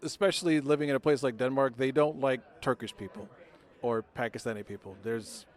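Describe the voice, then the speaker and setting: Man from the US, mid-range pitch, matter-of-fact, halting, outdoor city setting. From United States.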